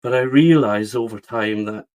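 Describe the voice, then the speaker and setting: Guest: earnest Scotsman, middle-aged, West of Scotland accent, mid-pitched, restarts mid-thought. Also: normal speed, a little mellow. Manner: earnest, repeats words as he restarts. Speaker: guest, publisher. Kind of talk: reflective storytelling interview.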